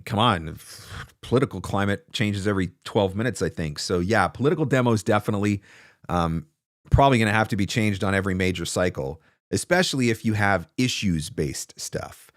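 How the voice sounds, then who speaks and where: Guy in his 40s doing a casual livestream coaching chat, lowish voice, close dry mic, kinda emphatic. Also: no room sound. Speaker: host, voice-over marketing coach. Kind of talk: casual livestream advice talk, answering comments. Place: small indoor home studio. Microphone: close desk microphone.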